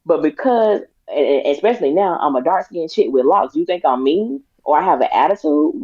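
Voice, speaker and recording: Raspy woman co-host, dull lo-fi sound. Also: sits low, very animated, brisk, no room sound. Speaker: podcast co-host. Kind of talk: casual discussion.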